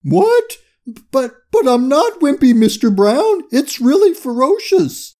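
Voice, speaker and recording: A hapless male getaway driver in a children's story, acted out by the narrator. He yelps and whines in a raised, lively pitch. He sounds easily rattled and defensive. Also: measured pace. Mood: panicked. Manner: whining, yelling, flustered.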